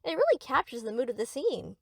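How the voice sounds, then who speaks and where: A high-voiced, swooping young yandere acted out by a woman speaks straight to the listener in an audio roleplay. She is doting and boastful, cheerfully obsessed and possessive, with a close, dry sound. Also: sing-song, brisk, gravelly, fairly bright tone, dry recording, heavily acted. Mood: adoring. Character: gushing, possessive yandere best friend, young woman painter. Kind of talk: scripted ASMR roleplay, addressing the listener.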